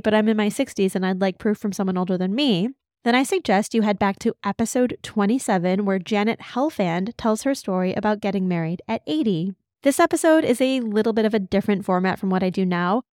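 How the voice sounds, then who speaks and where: Woman podcast host, upbeat and emphatic, medium pitch, dry close sound. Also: a little mellow, no room sound. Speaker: podcast host and midlife change coach. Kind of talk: scripted podcast intro, then casual video-call chat.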